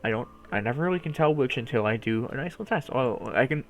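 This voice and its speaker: A man's voice: mid-range voice, animated, fast. Nasally guy rambling to his viewers, goofy, voice jumps around a lot, some noise behind him. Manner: playful, goofy, swearing. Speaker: streamer talking to viewers. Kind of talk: casual rambling chat to camera.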